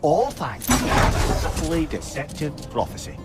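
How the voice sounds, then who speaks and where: An actor with a Scottish accent playing a wry, learned old advisor in a video game, eloquent and theatrical. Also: male voice, fairly high pitch, very animated, measured pace, over music. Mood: relishing, theatrical. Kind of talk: dramatic video game acting.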